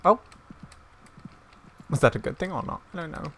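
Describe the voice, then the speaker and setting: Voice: medium pitch, lively intonation, normal speed, a little hiss or hum. A host giving casual gameplay commentary, with scattered, playful asides. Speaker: gaming video host.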